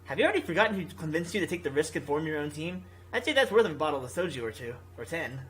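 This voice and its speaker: Casting: confident woman team leader for a visual novel voiced by the person reading it, mid-pitched, driven, persuasive, teasing. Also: lively intonation, quick pace, fairly bright tone, close to an everyday voice.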